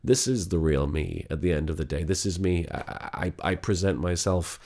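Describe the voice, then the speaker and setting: A man talking quietly and haltingly in a candid vlog, sick, with a gravelly voice and many restarts. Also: low-pitched. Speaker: vlogger.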